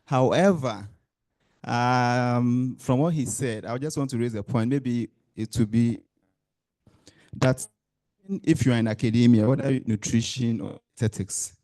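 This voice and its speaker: Male official, warm low timbre, dry close sound, calm explainer. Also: unhurried, dry recording. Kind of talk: meeting response.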